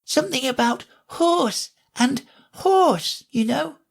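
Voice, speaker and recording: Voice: high voice, expressive, slow, gravelly, pitched above the performer's own voice, full character voice. A reader voicing a tiny, unhappy gnat in a storybook, small and wistful. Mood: teasing.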